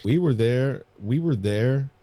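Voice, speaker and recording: A man's voice: medium pitch, expressive, takes it slowly, mellow, no echo. A man co-hosting a podcast, telling anecdotes in a casual, rambling way and often repeating his phrases. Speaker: podcast co-host.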